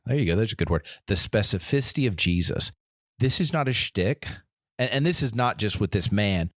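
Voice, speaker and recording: A man with a lowish voice talks earnestly on a faith podcast, often restarting his sentences and slipping into quoted voices. The sound is dry and close, with no room around it. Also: lively intonation, fairly quick, a bit bright, no room sound. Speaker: podcast co-host. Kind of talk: casual faith discussion.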